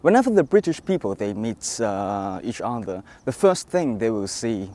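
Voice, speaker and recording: A man in his twenties, a student, answering haltingly and softly outdoors on a lapel mic. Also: fairly low pitch, very animated. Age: 20s. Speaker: student interviewee. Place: outdoor park.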